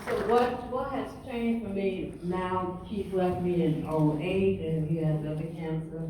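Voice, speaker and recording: A woman in her seventies shares personal remarks on a panel. Her voice is dark and mellow, picked up from a distance in a strongly reverberant room. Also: on the low side, steady tone, a little slow. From Long Island, New York, USA. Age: 70s. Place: echoing room with an audience. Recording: echoey hall, distant mic, faint noise.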